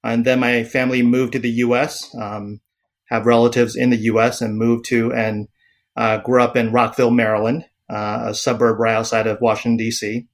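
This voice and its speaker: A man's voice: medium pitch, flat delivery, moderate pace. Hesitant man, psychiatrist podcast guest. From Taiwan. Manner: hesitant, many fillers and restarts. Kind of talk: podcast interview.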